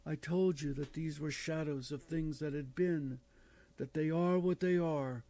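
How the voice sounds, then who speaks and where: A man voicing a solemn ghost in a storybook reading, speaking softly and gravely. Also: fairly high pitch, fairly even intonation, unhurried, no room sound.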